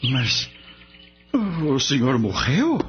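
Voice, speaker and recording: Bewildered, curious man, very lively. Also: medium pitch, extremely slow, full character voice. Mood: astonished. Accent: Brazilian. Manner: naive, questioning. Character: curious, bewildered man visiting a spirit world. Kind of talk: radio drama acting. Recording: dull lo-fi radio recording with music. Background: music.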